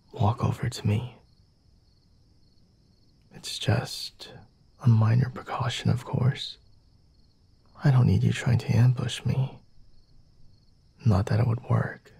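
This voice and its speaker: A man as a breathy, half-whispering flirty vampire. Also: mid-range voice, dark tone, exaggerated voice. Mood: amused, resigned. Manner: slow, deliberate, formal wording with sighs and hums. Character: flirty, condescending, merciful male vampire, self-assured and teasing. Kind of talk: ASMR roleplay.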